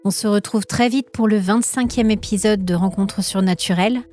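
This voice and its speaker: A woman narrating a scripted supernatural story as a podcast host, in a measured, literary and suspenseful storytelling manner. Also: mid-range voice, darkish tone, soft background music.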